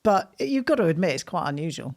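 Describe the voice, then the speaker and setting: A woman's voice: fairly low pitch, very animated, fast talker, darkish tone. A middle-aged woman, the host's mother, chatting on a podcast, easily amused and fond of old-fashioned sayings. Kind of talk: casual podcast chat.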